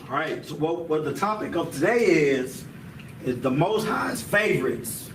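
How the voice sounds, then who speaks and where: A man's voice: a little high, lively intonation, a little slow, roomy sound, distant mic, slight background noise. A man teaching a Hebrew language class in a casual style, often tacking on "man" and "you know what I'm saying.".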